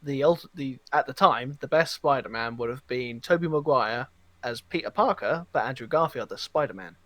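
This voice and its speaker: A man as a podcast guest, bantering casually in a halting, rambling way. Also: medium pitch, a bit bright, no echo.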